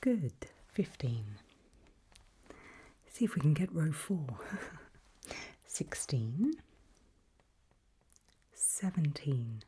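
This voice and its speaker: A woman doing a whispered ASMR doctor roleplay, speaking breathily in gentle, reassuring, halting short phrases close to the microphone. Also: low-pitched, very animated. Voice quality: breathy, mostly unvoiced whisper. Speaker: ASMR performer role-playing a doctor giving a memory test.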